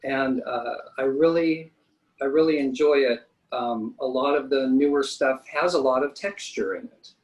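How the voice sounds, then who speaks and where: A man's voice: medium pitch, moderate pace, rough recording. A man working as a special effects artist, telling stories in a chatty, enthusiastic way during an interview. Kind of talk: casual interview answers.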